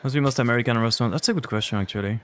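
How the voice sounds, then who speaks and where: A man's voice: medium pitch, rapid-fire, a bit bright. A young man with a French accent talks in a loose, rambling way, replying to chat messages as he plays a game on stream. He sits at a desk microphone at home, with a faint noise under his voice. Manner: chatty, rambling, answers chat. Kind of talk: casual livestream chat while gaming.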